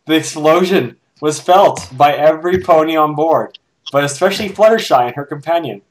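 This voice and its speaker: A man giving a halting, theatrical amateur narration of a fan fiction story. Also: fairly high pitch, low-quality recording. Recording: amateur recording with hiss and clicks.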